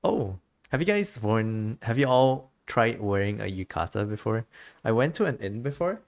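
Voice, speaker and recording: A man's voice: lowish voice, sing-song, normal speed, creaky. A man streaming a game with casual, musing commentary, a little hesitant as he thinks aloud.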